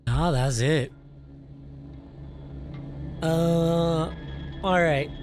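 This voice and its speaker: A man giving casual video game playthrough commentary in a halting, rambling, puzzled way. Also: fairly high pitch. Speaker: gaming video host. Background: game music.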